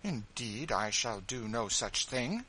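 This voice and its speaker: A man's voice: lively intonation, takes it slowly, slightly rough, heavily acted, poor audio. A man voicing a petulant, self-pitying wealthy widow, speaking sharply in a raised voice. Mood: haughty. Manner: complaining, sharp, high and raised.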